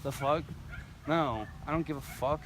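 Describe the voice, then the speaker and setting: A young American man with a slightly high voice tells stories casually among friends. His talk is slangy and full of swearing, captured on an amateur recording with plenty of background noise. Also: measured pace. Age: teens to young adult. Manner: slangy, profane.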